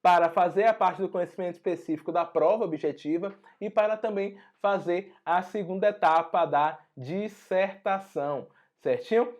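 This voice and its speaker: A hoarse man with a Brazilian Portuguese accent, teaching an online exam-prep lesson in a conversational way full of tag questions. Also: high-pitched, moderate pace, hardly any pauses. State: hoarse after a flu. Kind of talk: online lesson walking through an exam notice.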